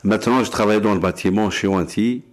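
A man's voice: fairly low pitch, fast talker. A man from Algeria, introducing himself plainly with hesitations.

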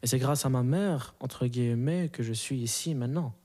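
A man's voice: medium pitch, normal speed, dark tone. A man in his early twenties from Belgium, a native Arabic speaker, answering interview questions casually and reflectively, often searching for words. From moved to Belgium at five, grew up there. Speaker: interview guest.

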